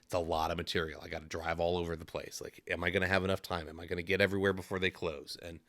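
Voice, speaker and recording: A man's voice: low-pitched, little pitch movement, racing, a bit bright, dry recording. A man hosting a podcast, a flooring contractor, talking candidly about his workload in long run-on stretches. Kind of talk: casual podcast conversation.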